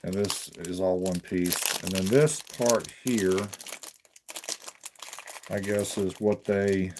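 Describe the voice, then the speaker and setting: A man giving casual unboxing commentary, haltingly thinking aloud as he hosts his video. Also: deep voice, fairly even intonation, noisy background.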